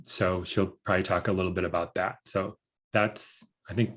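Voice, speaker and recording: A man, a documentary director on a panel, talking in a relaxed way with frequent ums. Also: low voice, normal speed. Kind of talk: panel discussion.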